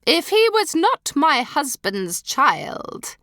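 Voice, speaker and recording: A woman's voice: medium pitch, very animated, slow pace, bright, forceful tone, no room sound, clean studio sound, exaggerated voice. A woman reading the part of a haughty, imperious old dowager duchess with a cold, cutting manner.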